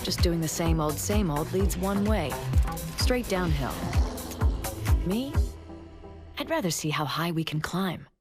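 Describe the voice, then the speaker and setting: A woman's voice: fairly low pitch, expressive, measured pace, long pauses, over loud music. An actor playing a driven, no-nonsense woman racing pilot in a game, earnest and mentoring. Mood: earnest, reflective. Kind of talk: video game cutscene acting.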